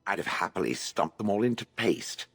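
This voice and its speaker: An ancient stone golem from a video game, with a low, raspy voice that rises and falls expressively. It sounds grumpy and haughty, full of dry scorn for soft humans. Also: male voice, sing-song, moderate pace, exaggerated voice. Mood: menacing, irritated. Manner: sardonic, haughty, blunt, dry. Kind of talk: video game dialogue acting.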